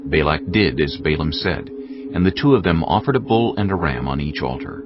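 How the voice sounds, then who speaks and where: A man's voice: rumbling low, animated, a little fast. A man reading scripted narration for a dramatised audio Bible. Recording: dull audio under loud music.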